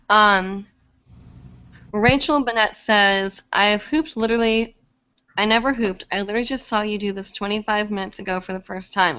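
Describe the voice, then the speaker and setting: A woman's voice: mid-range voice, sharp tone, lo-fi sound. A young woman hosting a live stream, chatty and full of fillers, with a slightly congested voice from a cold. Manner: chatty, many fillers, laughs easily. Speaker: live show host. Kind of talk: casual live-stream chat reading comments.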